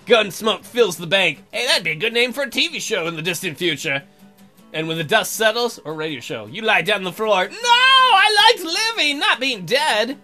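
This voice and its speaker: Animated, bright-voiced comic game player. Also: medium pitch, brisk, soft background music. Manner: bawdy, joking, playful asides. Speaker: let's play host. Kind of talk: comic game commentary, reading text aloud.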